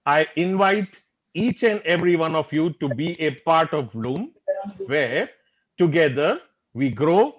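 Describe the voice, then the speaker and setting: A man's voice: a little high, animated, measured pace. An older man with an Indian accent, co-hosting and making a formal scripted introduction on a video call. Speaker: show co-host introducing guests. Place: indoor room, on a video call. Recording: slightly dull video-call audio.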